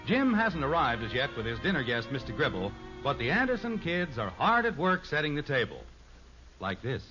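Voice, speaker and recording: A man's voice: medium pitch, sing-song, normal speed, over music. A man with an American accent reading scripted narration as a 1950s radio announcer, earnest and emphatic. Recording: dull old radio recording.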